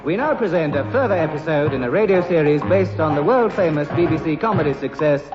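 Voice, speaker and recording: A man's voice: on the high side, expressive, fast, music in the background. A man making formal 1960s radio announcements on a dull old recording.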